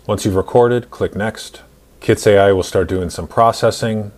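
Man, 40s, low voice, instructional, step-by-step software tutorial narration. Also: fairly even intonation, moderate pace. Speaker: tutorial host.

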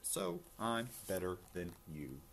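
A vain rabbit from a storybook, acted out by a man reading aloud. The voice is low and full of self-importance, snappy and boastful. A slight noise sits in the background. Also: lively intonation, takes it slowly, fairly bright tone, full character voice. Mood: smug. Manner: bragging, snappy.

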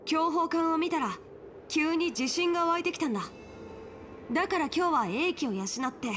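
An actress voicing a spirited, cheeky young girl swordfighter in a video game, informal, pouty and expressive. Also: high-pitched, slight background noise.

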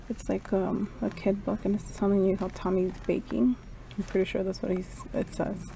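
A woman's voice: mid-range voice, creaky, dark tone, slight background noise, poor audio. A young woman vlogging casually while shopping, thinking aloud and hedging as she goes, filmed on a handheld camera. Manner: chatty, thinking aloud, hedging with "I don't know". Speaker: travel vlogger. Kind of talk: casual vlog narration. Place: shops and mall, indoors. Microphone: handheld camera at arm's length.

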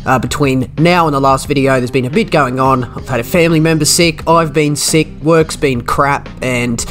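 A man's voice: mid-range voice, moderate pace, fairly bright tone, faint music behind. A young Australian man commentating his racing game laps in a chatty, self-deprecating way, a little nasal from a cold, on a desk microphone with game sound underneath. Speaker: gaming commentator. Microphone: desk USB microphone.

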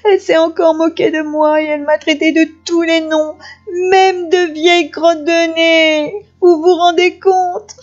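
A woman's voice: extremely high pitch, little pitch movement, a little slow, dull audio, pitched above the performer's own voice. A woman voicing a whiny, put-upon male wolf in a children's story, with a plaintive manner. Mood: tearful, aggrieved. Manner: sobbing, plaintive complaints.

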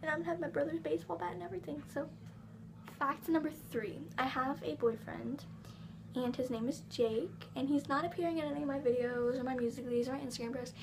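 Teen girl, high voice, slight southern accent, bubbly and chatty, noisy living room. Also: fast talker. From England. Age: teens. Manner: bubbly, chatty, lots of ums. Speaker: vlogger. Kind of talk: casual chat to camera. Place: living room at home, indoors. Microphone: device camera on table.

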